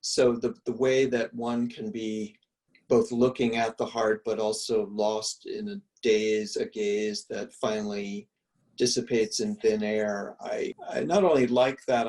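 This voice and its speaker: A male art history professor musing aloud in relaxed conversation, full of restarts and fillers, speaking from a room at home on a video call. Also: fairly low pitch, creaky, distant mic, rough recording. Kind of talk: reflective conversational answers.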